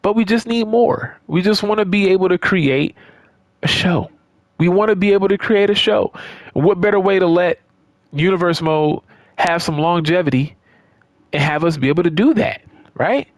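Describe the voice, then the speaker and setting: A man's voice: sits high, moderate pace, no room sound, studio quality. A man talking casually and off the cuff to his viewers as a gaming YouTuber, emphatic and repeating phrases for stress, often checking in with the listener. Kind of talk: casual, unscripted vlog commentary to viewers.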